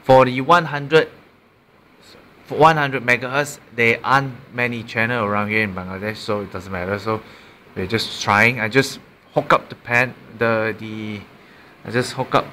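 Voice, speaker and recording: A man's voice: medium pitch, steady tone, moderate pace, sharp tone, slight background noise. A man with a Malaysian accent casually showing a gadget, unscripted, often repeating and correcting himself. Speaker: amateur product reviewer. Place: small room indoors at a desk. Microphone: built-in mic of a handheld camera.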